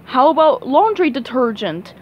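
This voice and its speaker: A woman voicing a crusty male crab restaurant boss in a hobby cartoon dub, with gruff, ominous pirate diction. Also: high voice, big pitch swings, normal speed, highs cut off. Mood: grave.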